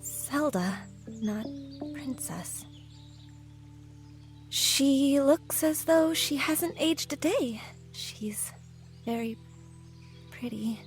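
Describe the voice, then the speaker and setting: A woman playing a shy, anxious young village woman in an audio drama, soft-spoken, hesitant and stammering. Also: high voice, lively intonation, very slow, long pauses, soft background music, exaggerated voice. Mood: wistful. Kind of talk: fan audio drama acting.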